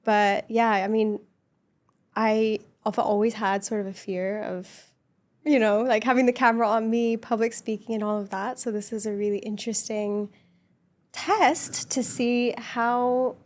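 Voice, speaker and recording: A woman guest on a podcast, speaking in a soft, gentle voice in an earnest, reflective, casual conversation. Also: a little high, fairly even intonation, unhurried. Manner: earnest, reflective chat with frequent laughs.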